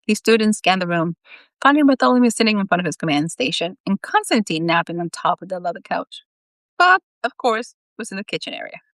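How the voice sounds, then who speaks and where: Female author-narrator for a live story reading, mid-range pitch, dry recording. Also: very animated, fairly quick, a bit bright, no room sound. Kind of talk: story reading with character dialogue and casual asides.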